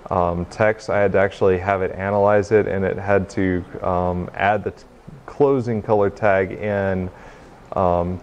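A young man giving an informal tech demo talk in a hesitant, halting way, recorded in a meeting room. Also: on the low side, darkish tone, slight background noise. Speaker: presenter, game developer. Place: indoor hackerspace meeting room, small stage.

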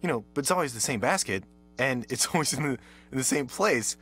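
A man voicing a naive, bewildered pet dog in a conversational advert, with halting asides. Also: medium pitch, lively intonation, a bit bright, slightly rough. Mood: bemused. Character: naive, puzzled pet beagle, new to the household. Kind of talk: advert character monologue, conversational.

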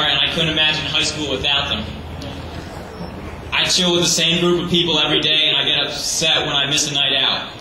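A teenage boy giving an earnest, slightly halting graduation speech as salutatorian. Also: on the high side, sharp tone. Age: teens. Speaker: graduating student. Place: large hall or gym. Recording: echoey hall, far from the mic, noisy.

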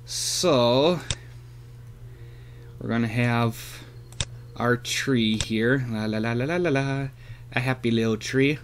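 A man's voice: medium pitch, takes it slowly, pauses often, slight background noise. A man casually showing off his radio antenna setup, rambling with lots of fillers, filmed on a handheld camera outdoors and then at a computer. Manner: rambling, hesitant with many fillers. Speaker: hobbyist vlogger. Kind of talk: casual show-and-tell.

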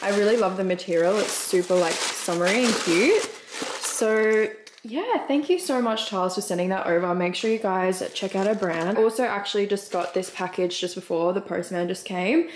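A woman's voice: medium pitch, moderate pace, a little hiss or hum. A woman in her twenties with an Australian accent, chatting casually and gushingly in a vlog style. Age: 20s. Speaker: vlogger. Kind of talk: casual vlog chat, unboxing.

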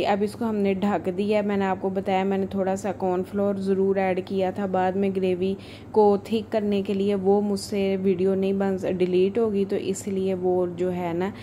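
Even-toned woman in a noisy recipe voice-over. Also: medium pitch. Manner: informal, chatty, homely asides. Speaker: home cook, cooking channel host. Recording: voice-over with clear background noise.

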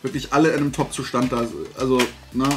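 A man's voice: medium pitch, steady tone, fast, over music. A man chats casually to camera as a vlog host, blunt, opinionated and colloquial. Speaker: host of a collecting haul vlog. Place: small indoor room lined with collectibles.

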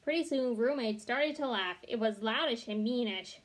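A young adult woman reads a children's story aloud in a fairly high voice. She is expressive, and now and then she trips on a word and corrects herself. She sits in a small bedroom, speaking into a device at arm's length. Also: moderate pace. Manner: expressive reading with occasional stumbles and self-corrections. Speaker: read-along storyteller. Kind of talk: reading a children's chapter book aloud.

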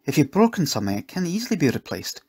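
A man voicing a kindly, motherly woman cook in a storybook, with a gentle, homely, fussing manner. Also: on the high side, sing-song, fast talker, husky, pitched above the performer's own voice. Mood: gentle concern.

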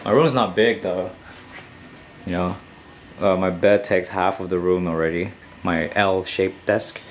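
A man's voice: low voice, fairly even intonation, measured pace, a little hiss or hum. A young man in his twenties from Canada, chatting casually and slangily to his viewers in a small room at home. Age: 20s. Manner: laid-back, slangy, talks straight to viewers. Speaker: vlogger. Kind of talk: casual vlog chat to camera.

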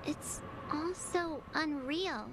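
A woman's voice: high voice, drawn-out words, background noise. A woman voicing a wistful, sensitive teenage girl in a video game, girlish and plaintive, speaking hesitantly and earnestly. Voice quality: girlish, youthful.

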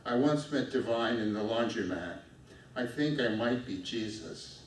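A middle-aged man reading his own poem aloud at a lectern on a small dark stage, in a steady, measured way. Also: mid-range voice. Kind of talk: poetry reading. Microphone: stand mic at a lectern, filmed from far off. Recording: echoey room from far off, faint noise.

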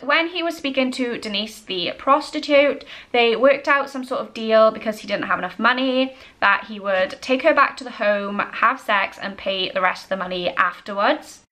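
Bright-voiced young woman telling true crime. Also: fairly high pitch. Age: 20s. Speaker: host. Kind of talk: true-crime storytelling to camera. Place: small indoor home room.

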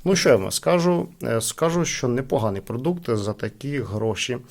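A man's voice: mid-range voice, animated, normal speed, vocal fry, a little mellow, a little hiss or hum. A man hosting a casual product review, talking informally to his viewers as friends. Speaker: gadget unboxing channel host.

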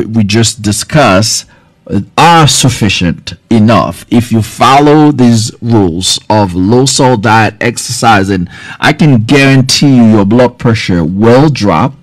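A man in his forties, originally from Haiti, talks straight into a studio mic in a small office. He gives earnest, direct health advice in a loose, chatty way, full of fillers. His voice sits in a middle pitch range and sounds close and dry, with no room echo. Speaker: health care provider hosting a live stream. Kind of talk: casual live-stream health advice. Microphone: studio mic on boom arm, front camera close-up.

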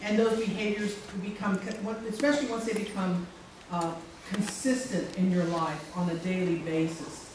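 A middle-aged woman with a strong, loud voice gives a chatty, joking talk with many fillers to a conference audience. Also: fairly low pitch, moderate pace. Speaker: speaker. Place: civic center meeting room. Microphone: handheld mic, far camera. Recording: echoey hall from far off, noisy.

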